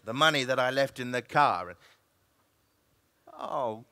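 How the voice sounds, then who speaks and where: A man's voice: mid-range voice, lively intonation, measured pace, creaky, bright, forceful tone, dry recording, full character voice. A man performing an emphatic, dramatic reading of a comic story as an actor. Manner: theatrical, emphatic delivery.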